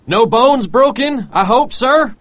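A man's voice: very high voice, expressive, takes it slowly, a bit bright, no echo, voice pushed up, heavily acted. A man reading a storybook and voicing an anxious ship's mate who pleads with his captain. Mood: worried. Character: worried male ship's mate, cautious and pleading.

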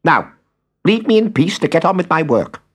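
A man's voice: on the high side, very animated, normal speed, breathy edge, pitched above the performer's own voice. A man voicing a cross, scowling little mountain man in a storybook reading. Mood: gruff. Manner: snappish and curt.

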